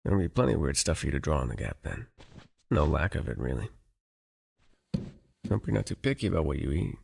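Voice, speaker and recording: Casting: gruff wilderness guide for an ASMR roleplay, played by a man; very deep, husky, dry and teasing with the client. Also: very animated, brisk, a little mellow, heavily acted. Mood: brisk, businesslike. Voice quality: low, gravelly, husky. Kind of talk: ASMR audio roleplay, one-sided dialogue addressed to the listener.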